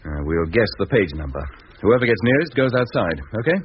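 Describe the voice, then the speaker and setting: An actor playing a brisk, exasperated male captain of a moon rocket crew, in 1950s radio drama style, giving clipped, authoritative orders. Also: lowish voice, very animated. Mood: tense, controlled. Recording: dull, noisy 1950s radio recording.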